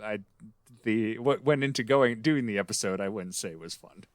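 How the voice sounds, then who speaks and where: Dude with a lower voice, dry close sound, just chatting as podcast co-host. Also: male voice, moderate pace, no room sound. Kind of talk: casual fan podcast chat.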